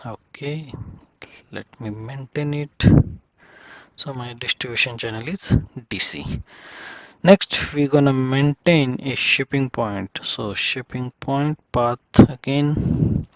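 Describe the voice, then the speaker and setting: A man with a medium-pitched voice leads a casual software tutorial, talking himself through each step in short, halting phrases. The sound is dry and close, with a dull, lo-fi muffling over it. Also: dry recording. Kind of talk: casual step-by-step screen demonstration.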